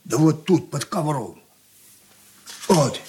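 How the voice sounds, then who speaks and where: An ailing, brooding old patriarch in a Soviet radio drama. He speaks very slowly in broken, weary phrases, his pitch swinging widely as he grows confused. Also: lively intonation, mellow. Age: older. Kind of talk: Soviet radio play acting.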